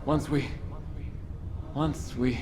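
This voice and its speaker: A man's voice: mid-range voice, steady tone, very slow, background noise. A man playing a dying, disillusioned crusader in a video game, proud and bitter, with a weakened delivery. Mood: bitter, defiant. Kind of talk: dramatic video game cutscene acting.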